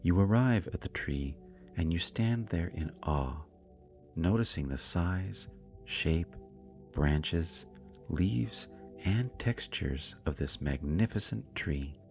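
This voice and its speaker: A man narrating a scripted guided meditation in a calm, soothing, deliberate manner. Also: low voice, creaky, muffled recording. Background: meditation music with singing bowls.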